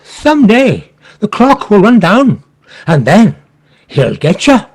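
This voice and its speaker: A woman playing a cheerful, fawning pirate henchman in an audio play, exclaiming and eager to flatter. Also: medium pitch, slow pace, breathy edge, exaggerated voice. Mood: wry, matter-of-fact.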